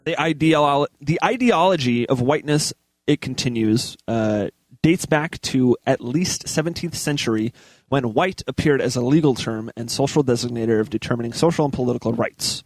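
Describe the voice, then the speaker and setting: A man co-hosting a talk show, reading articles aloud haltingly between bits of casual banter. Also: medium pitch, no room sound, low-quality recording. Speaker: co-host reading the news.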